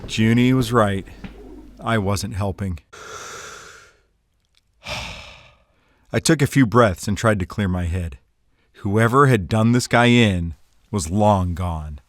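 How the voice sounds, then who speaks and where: A man acting as a wisecracking, excitable hardboiled detective type in a noir audio drama, with slangy tough-guy talk. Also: lowish voice, takes it slowly, long pauses, no echo, clean studio sound. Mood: wry, deadpan. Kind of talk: hardboiled noir radio-play narration and dialogue.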